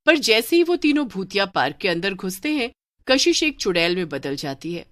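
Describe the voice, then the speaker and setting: A woman's voice: medium pitch, big pitch swings, normal speed, a bit bright, no echo. A woman narrating a scripted cartoon horror story as a storyteller.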